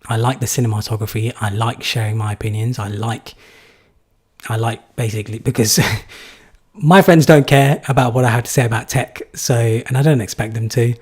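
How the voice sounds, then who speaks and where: A man's voice: mid-range voice, steady tone, moderate pace, darkish tone, husky. A young man from England answering viewer questions in a live stream, casual and rambling with many fillers. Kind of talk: live Q&A chat.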